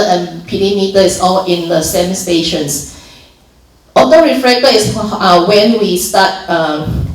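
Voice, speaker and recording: A woman with a Malaysian accent walks volunteers through a training session in an informal, step-by-step way, often restarting her sentences and filling pauses. She sounds set back from the microphone, with the echo of a roomy hall around her. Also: mid-range voice. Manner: explains steps plainly, many restarts and fillers. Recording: echoey room from far off.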